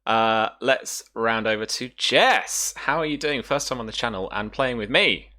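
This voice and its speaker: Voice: mid-range voice, normal speed, bright, forceful tone. A game master casually hosting a tabletop roleplaying livestream in a chatty, teasing way.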